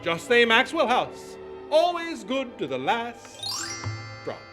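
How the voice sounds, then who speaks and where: A man's voice: very high-pitched, wildly swooping pitch, takes it slowly, music in the background, heavily acted. A man playing a polished, enthusiastic 1940s-style radio announcer, declamatory and emphatic. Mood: emphatic, rousing. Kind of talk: 1940s radio advertising and narration.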